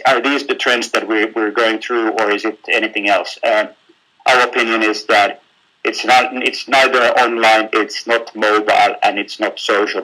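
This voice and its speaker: A man from Finland with a Finnish accent, giving a live webinar in a halting way, with many fillers and repeated words. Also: lowish voice, bright, forceful tone, poor audio. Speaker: webinar speaker.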